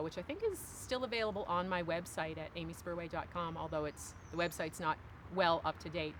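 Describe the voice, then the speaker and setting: A woman's voice: fairly low pitch, fairly bright tone, a little hiss or hum. A woman in her forties from Cape Breton, Canada, giving thoughtful, emphatic, conversational answers as an interview guest. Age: 40s. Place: outdoors on a wooden bench by woods.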